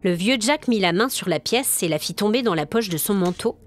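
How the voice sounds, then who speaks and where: A woman's voice: medium pitch, expressive, a little fast. A woman storyteller reading scripted narration for a dramatic audio tale.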